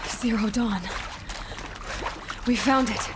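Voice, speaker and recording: A woman's voice: fairly high pitch, slow, background noise. An actress playing a bold young woman explorer in a video game, blunt and sarcastic. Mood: curious. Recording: echoey hall, music behind.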